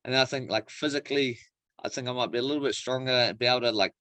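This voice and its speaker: A man's voice: medium pitch, steady tone, fast talker, pushed and bright, dry recording. Male athlete interviewee, New Zealand accent. Mid-range voice, informal and slangy, dry sound with little room. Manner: laid-back slangy phrasing, frequent "like" and "bro". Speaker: professional MMA fighter being interviewed. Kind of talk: casual interview chat.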